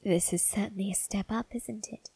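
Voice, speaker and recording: Suave male vampire acted by a woman, ASMR roleplay, soft breathy half-whisper, close dry sound, coaxing, quietly menacing. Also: mid-range voice, fairly even intonation, normal speed, dry recording. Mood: coaxing, self-justifying.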